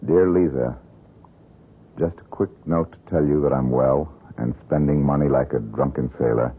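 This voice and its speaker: An actor playing an earnest, excitable painter with a husky voice, in old radio drama style. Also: male voice, very deep voice, moderate pace, narrow, tinny audio. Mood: quiet relief. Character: earnest, excitable, haunted struggling male painter. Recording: thin band-limited old radio broadcast.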